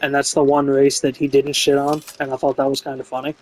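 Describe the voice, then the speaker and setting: A man's voice: medium pitch, completely flat delivery, quick pace, a little mellow, slight background noise, rough recording. A man giving a casual, unscripted video game review in a frank, opinionated way. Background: music behind the voice.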